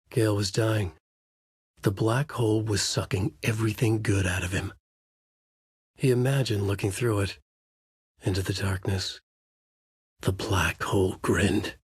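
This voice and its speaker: An actor playing a brooding, haunted male writer, narrating his dark story in a measured, dramatic, intense way with a husky voice. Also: on the low side, no echo, clean studio sound. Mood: brooding, grave. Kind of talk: scripted first-person noir narration.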